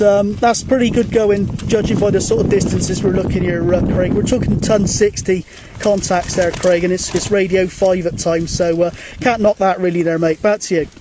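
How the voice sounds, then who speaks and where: A man with a noticeably high voice talks casually in the open air. He is a radio hobbyist, matey and a little hesitant, dropping in CB jargon as he goes. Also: very noisy. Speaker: CB radio hobbyist, video host. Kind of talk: casual radio chat and outdoor vlog. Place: outdoors on a mountain.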